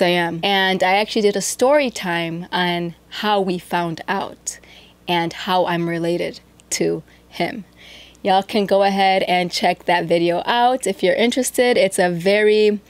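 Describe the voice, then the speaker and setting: A young woman hosting a reaction video, chatting casually and teasing, talking straight to viewers from a small bedroom studio with a desk mic. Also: medium pitch. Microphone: desk microphone on arm.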